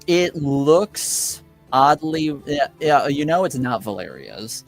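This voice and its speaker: A man running a tabletop roleplaying game as game master, improvising casual narration and questions with thoughtful, halting phrasing. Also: mid-range voice, expressive, vocal fry. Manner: halting, pauses to think, brief affirmations. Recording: music under voice. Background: background music.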